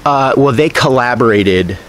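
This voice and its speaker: A man's voice: medium pitch, lively intonation, unhurried, a bit bright. An American man in his thirties, talking casually and a little hesitantly as a caller on the phone, in an agreeable way. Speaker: caller making a records request. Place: outdoors in front of leafy greenery. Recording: faint outdoor background noise.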